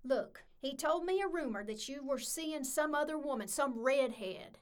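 A woman's voice: high-pitched, animated, moderate pace. A woman playing a brash, bossy, jealous young-spirited Southern woman with a hot temper in an audio drama. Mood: grudgingly confessing.

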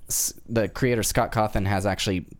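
Male podcast panelist, low and resonant, conversational with a halting delivery. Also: fairly even intonation. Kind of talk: casual group discussion.

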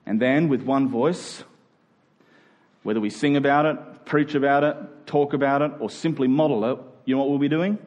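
A man's voice: mid-range voice, steady tone, moderate pace, a little mellow. A man with an Australian accent preaching as a pastor, earnest and conversational, often posing questions to his listeners. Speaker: church pastor. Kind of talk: sermon.

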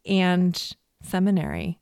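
A woman's voice: on the low side, very animated, slow pace, creaky, a little mellow, no room sound, studio quality. A woman hosting a podcast, upbeat and enthusiastic, laughing readily as she introduces and questions her guest. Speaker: podcast host. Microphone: video call.

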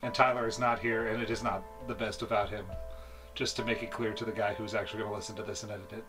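A man's voice: lowish voice, fairly even intonation, fast, music in the background. A man hosting a podcast in casual banter, joking and prompting the others. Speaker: podcast host.

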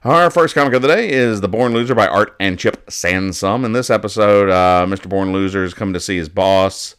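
Male host, low smooth voice, casual comedic commentary, halting and mock-earnest. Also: fairly bright tone. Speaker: host of a comics-reading show. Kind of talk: casual humorous commentary on newspaper comics.

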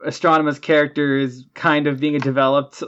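Female podcast co-host, relaxed conversational delivery, informal chat. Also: deep voice, fairly quick, dull audio. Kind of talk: casual chat.

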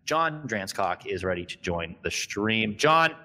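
A man's voice: lowish voice, big pitch swings, unhurried, sharp tone. A man hosting a podcast stream, joking around, swearing and laughing often. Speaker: podcast host. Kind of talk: casual podcast chat.

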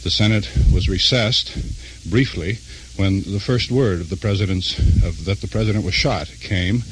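Halting correspondent, low voice, faint hiss. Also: male voice, a bit bright, poor audio. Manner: halting, pausing between short phrases. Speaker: radio news correspondent. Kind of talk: unscripted live news report. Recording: faint hiss, old radio news feed.

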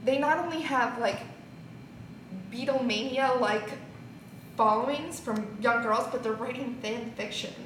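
A woman's voice: fairly high pitch, a little slow, a bit bright. A woman chatting casually on a group podcast. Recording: roomy, off-mic, faint background noise.

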